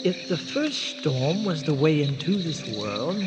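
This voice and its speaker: An actor playing an earnest, eccentric castaway scientist in a radio drama, speaking formally with hesitant stammers. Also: male voice, on the high side, sing-song, unhurried. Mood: explaining intently. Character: eccentric, long-stranded scientist explorer, earnest and courtly. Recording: noisy radio drama, long cavernous reverb.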